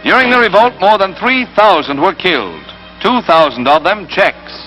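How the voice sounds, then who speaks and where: A man's voice: high-pitched, sing-song, normal speed, poor audio. A man with an American accent narrating a 1940s newsreel in a dramatic, emphatic, scripted style. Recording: old 1940s newsreel soundtrack, loud music. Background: loud orchestral newsreel score.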